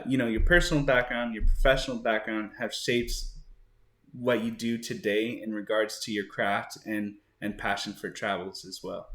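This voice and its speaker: A man's voice: medium pitch, a bit bright, echoey room. A man hosting a podcast, introducing his guest and asking questions in a loose, conversational way with fillers and restarts. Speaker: podcast host. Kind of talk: casual podcast interview.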